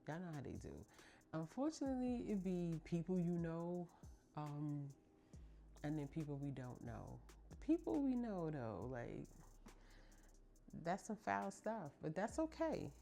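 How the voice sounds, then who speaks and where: Middle-aged woman podcast host, low warm voice, chatty and emphatic, speaks straight to her listeners. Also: very animated, measured pace, creaky, pauses often, faint music behind. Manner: chatty and emphatic, addresses listeners as ladies and y'all. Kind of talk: casual heartfelt monologue to listeners.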